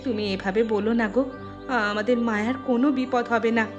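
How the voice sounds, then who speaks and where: A woman's voice: fairly high pitch, steady tone, a little fast, dull audio, soft background music, close to an everyday voice. A woman playing a devoted village mother and wife in a moral-story cartoon, caring yet firm. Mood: soothing. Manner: reassuring, scolding when cross.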